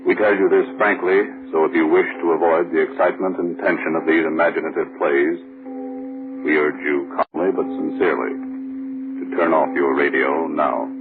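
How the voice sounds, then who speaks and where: A man announcing an old radio show in a measured, solemn manner. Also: extremely low pitch, steady tone. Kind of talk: scripted announcement. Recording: tinny old radio broadcast with music.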